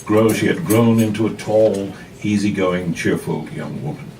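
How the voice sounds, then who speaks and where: A man giving an expressive, dramatic reading of literary fiction to a live audience in a cafe, with weighted pauses. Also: on the low side. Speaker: actor reading a novella aloud. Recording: noisy live room, distant mic.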